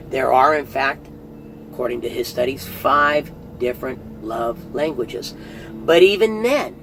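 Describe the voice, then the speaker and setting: A man's voice: fairly high pitch, slight background noise, poor audio. A middle-aged man giving a casual, earnest spoken review, chatty and often restarting phrases. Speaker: audiobook reviewer.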